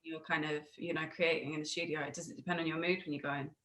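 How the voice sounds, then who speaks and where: Woman interviewer chatting casually, bright voice, bit of noise on the call. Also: sits low, level tone, fast. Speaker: interviewer, host. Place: remote video call. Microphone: video call.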